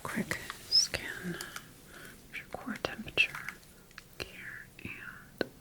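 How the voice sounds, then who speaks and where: A woman playing a calm, clinical scientist in a whispered ASMR roleplay, speaking in a hushed, measured, procedural way. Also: lightly acted. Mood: focused, clinical. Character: calm, clinical female scientist tending her bioengineered creation. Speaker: ASMR performer.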